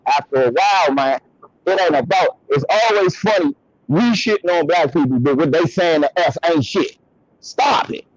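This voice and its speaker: A man's voice: moderate pace, dry recording, dull audio. A man hosting a heated commentary debate over a call, emphatic and profane, cutting in to make his point. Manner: emphatic, profane, interrupts, pitch jumps when worked up. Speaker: host of commentary channel.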